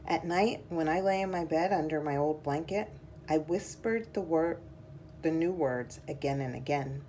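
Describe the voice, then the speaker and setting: A woman reading a children's picture book aloud in a measured, deliberate storytelling style. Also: low-pitched, dark tone, slight background noise.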